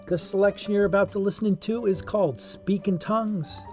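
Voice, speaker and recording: A podcast host reads a scripted introduction in a mellow, dark-toned voice of ambiguous, middle pitch. Faint plucked guitar music plays underneath. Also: normal speed.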